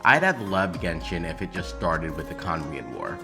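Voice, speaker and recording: Male gaming commentator, very low register with lively inflection, scripted opinion delivery, dry sarcastic asides. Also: normal speed. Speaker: YouTube game commentator. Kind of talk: scripted video essay commentary. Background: background music.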